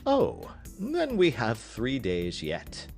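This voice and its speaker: A man voicing a cool, unruffled gentleman detective with a polite, airy, precise manner, in an audiobook story. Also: mid-range voice, wildly swooping pitch, takes it slowly, gravelly, faint music behind, heavily acted.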